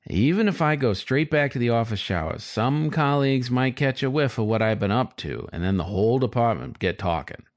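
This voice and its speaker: A man's voice: medium pitch, lively intonation, brisk, few pauses, lightly acted. A man voicing a sly, frustrated male fox who narrates his story in a wry, confiding, colloquial way, as in an audiobook.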